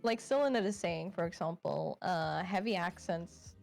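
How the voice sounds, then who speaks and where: A woman with a Swedish accent talks in a relaxed, reflective way, a little self-conscious and quick to laugh. Her voice sits on the low side for a woman. Faint music plays underneath. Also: vocal fry. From Sweden. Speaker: VTuber guest. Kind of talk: casual chat.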